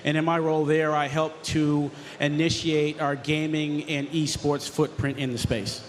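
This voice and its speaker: A man's voice: on the high side, little pitch movement, a little slow, slight background noise. A man speaking informally and chattily as a panelist. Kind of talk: conference panel talk.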